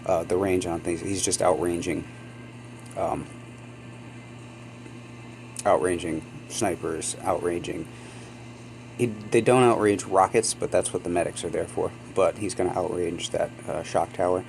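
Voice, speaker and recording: A man with a very low voice talks through his gameplay in a loose, rambling way, full of ums and little apologies. He sounds sniffly from a cold. A steady hum sits under the home recording. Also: a little slow, vocal fry, pauses often, poor audio. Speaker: gaming channel host. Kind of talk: casual gameplay commentary.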